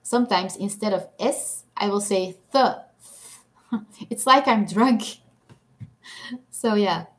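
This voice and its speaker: A woman's voice: medium pitch, takes it slowly, pauses often. A young woman from Belgium whose first language is Dutch, speaking English with a Belgian Dutch accent as she chats casually on a live stream, reading comments, laughing often and poking fun at herself. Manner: chatty, giggly, self-deprecating.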